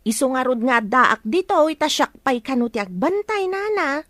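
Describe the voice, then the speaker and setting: A naive young village shopkeeper in a radio comedy. Her voice is very high, with an Ilocano accent, recorded close and dry. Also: lively intonation, normal speed, fairly bright tone, no echo.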